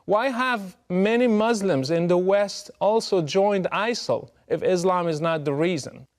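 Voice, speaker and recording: Higher-voiced man with a Moroccan Arabic accent. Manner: earnest, emphatic, measured rhetorical delivery. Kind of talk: prepared political address to camera.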